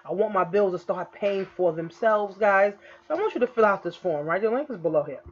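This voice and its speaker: A woman in her early thirties, an online business coach, giving a casual recruiting pitch to camera, repeatedly checking in with "okay?" and "guys". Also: expressive, brisk, dull audio. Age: 30s. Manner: repetitive, keeps saying "okay?" and "guys". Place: indoor room. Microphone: laptop webcam in screen recording.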